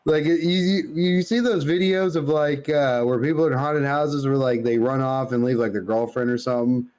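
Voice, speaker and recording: A man's voice: medium pitch, steady tone, moderate pace, a little mellow. A man co-hosting a podcast, telling comic stories in casual, slangy, sweary talk. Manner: casual, profane, dude-heavy slangy talk. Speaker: podcast co-host. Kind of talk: casual chat, comic storytelling.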